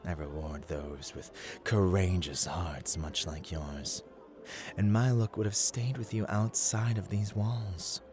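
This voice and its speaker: A man's voice: deep voice, steady tone, slow pace, darkish tone, faint music behind. A man playing a haughty, playful god of luck in an ASMR roleplay, speaking in a theatrical, teasing, formal way that drifts into whispers. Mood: amused, curious. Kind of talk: ASMR roleplay, scripted dramatic monologue.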